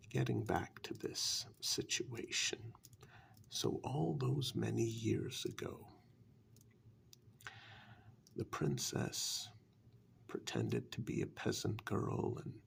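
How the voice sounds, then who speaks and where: Casting: male actor for condescending warlock, ASMR dark fairytale; lowish, extremely slow, soft gravelly delivery. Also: a little hiss or hum, heavily acted. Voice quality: soft, breathy half-whisper with gravelly creak. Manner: slow, deliberate, patronising, with drawn-out pauses. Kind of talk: ASMR fairytale role-play.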